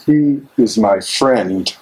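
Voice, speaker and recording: An actor playing a gentle, calm German pastor in prison, speaking in a measured way in a play reading. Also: male voice, on the low side, poor audio. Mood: quietly guarded. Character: calm, consoling German pastor imprisoned in wartime. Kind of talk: dramatic reading of a stage play.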